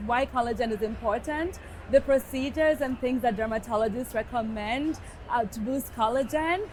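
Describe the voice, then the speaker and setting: A woman's voice: a little high, low-quality recording. A woman from Kenya with a Kenyan accent, an upbeat dermatologist hosting a podcast recorded live at a conference. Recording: live event with background noise.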